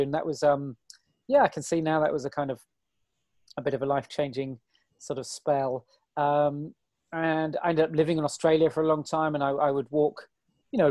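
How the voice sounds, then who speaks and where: A man in his forties, a guest on an interview, talking in a chatty, self-deprecating, rambling way with a hoarse, weary voice. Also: on the high side, darkish tone, dry recording. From Britain. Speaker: guest, ultrarunner. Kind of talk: casual interview chat.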